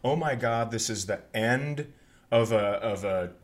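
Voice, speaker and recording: A man's voice: mid-range voice, a little slow. A middle-aged American man chatting casually and telling funny stories as a podcast host. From northern Minnesota, United States. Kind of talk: casual comedic chat and storytelling.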